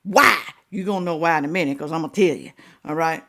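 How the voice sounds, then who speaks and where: A woman hosting a reaction video, talking casually, scolding and animated with drawn-out exclamations. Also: deep voice, very noisy.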